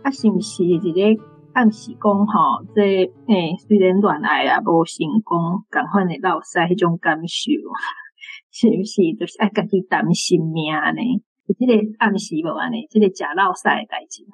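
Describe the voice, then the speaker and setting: Taiwanese female reader, husky, lowish, informal questioner. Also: normal speed, no room sound, soft background music, rough recording. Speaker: reading group member asking a question. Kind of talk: casual spoken question.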